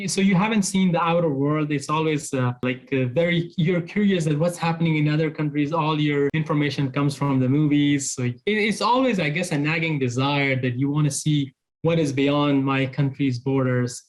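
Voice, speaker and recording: A man in his thirties from Afghanistan, answering interview questions in a halting way, with many restarts and fillers. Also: fairly high pitch, poor audio. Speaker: interview guest. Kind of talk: casual interview.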